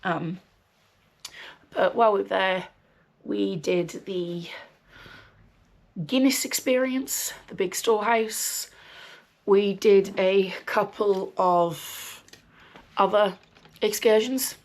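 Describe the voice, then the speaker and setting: Gravelly woman with a cold. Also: mid-range voice, slow pace, pauses often. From West Midlands, England. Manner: chatty, frequent ums, dry swearing asides. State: recovering from a cold, slightly nasal and wheezy. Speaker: podcast host. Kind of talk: casual rambling chat.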